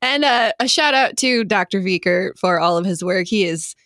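A woman talks informally as a podcast co-host. Her manner is agreeable, her pitch is average, and the sound is close and dry. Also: lively intonation, normal speed, a bit bright, dry recording. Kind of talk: casual chat.

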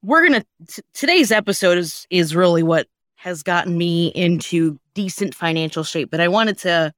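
A woman's voice: on the low side, lively intonation, normal speed, a bit bright, no echo, clean studio sound. A woman co-hosting a podcast in casual, conversational chat, speaking with emphasis. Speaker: podcast co-host.

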